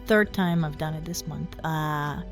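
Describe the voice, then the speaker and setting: An actress playing a meek, bumbling, apologetic coworker in an audio drama, speaking hesitantly. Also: female voice, low voice, a little slow, soft background music. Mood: sheepish.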